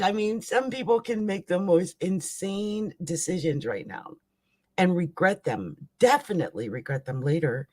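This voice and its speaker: Raspy-voiced woman streamer. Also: sits low. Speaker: astrology live stream host. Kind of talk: casual live astrology chat.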